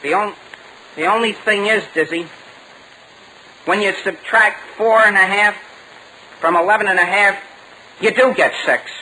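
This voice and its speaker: An actor playing an earnest, plaintive teenage son in a 1940s radio sitcom, on a noisy vintage broadcast. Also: male voice, high-pitched, measured pace, long pauses, pushed and bright, heavily acted. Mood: unsure, resigned. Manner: boyish, plaintive, exclamatory.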